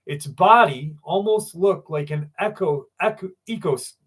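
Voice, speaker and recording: A man's voice: sits high, measured pace, slightly rough. A man hosting a live stream, telling stories in a loose, profane way and greeting viewers by name. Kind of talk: casual live stream chat and storytelling.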